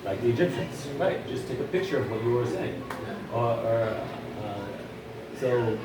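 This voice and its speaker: A man's voice: mid-range voice, expressive, moderate pace, distant mic. Male Bible teacher, native Hebrew speaker, loose stop-start delivery, recorded from across an echoing, noisy room. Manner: repeats and restarts words, conversational. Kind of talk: informal teaching talk with audience.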